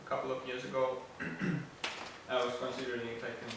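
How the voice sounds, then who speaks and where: A man's voice: medium pitch, monotone, creaky. Finnish-born guy introducing himself, stop-start, lots of room echo. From Finland. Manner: halting, many restarts. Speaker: workshop participant. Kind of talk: self-introduction, hesitant. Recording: echoey room from far off, noisy.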